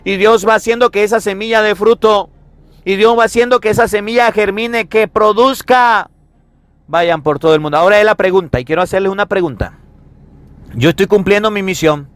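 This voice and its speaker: High-pitched male preacher in echoey room. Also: a bit bright, smooth. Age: 30s. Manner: emphatic, repetitive, with rhetorical questions. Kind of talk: sermon on the Gospel.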